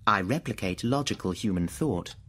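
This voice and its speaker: An actor playing an aloof thinking machine in a radio drama, measured, precise and mocking. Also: male voice, lowish voice, expressive, pushed and bright, a little hiss or hum. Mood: coolly logical. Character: sentient thinking machine, aloof, taunting, coolly logical.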